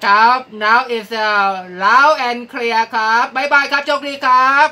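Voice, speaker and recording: Thai man making slow radio calls. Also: very high voice. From Thailand. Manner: repetitive phonetic-alphabet callsign spelling. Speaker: amateur radio operator. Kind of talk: ham radio contact calls. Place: small cluttered indoor radio room. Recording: very noisy shack recording, radio hiss. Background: radio static and noise.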